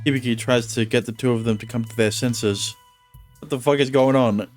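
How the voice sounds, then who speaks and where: A male streamer who reads visual novel narration aloud in a steady tone and chats casually between lines. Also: medium pitch, a little fast, faint music behind.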